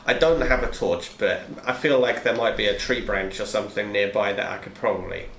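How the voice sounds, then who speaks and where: A man's voice: on the low side, fairly quick, fairly bright tone. A man playing a tabletop roleplaying game, chatting casually in a room as he talks through plans. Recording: echoey room with background noise.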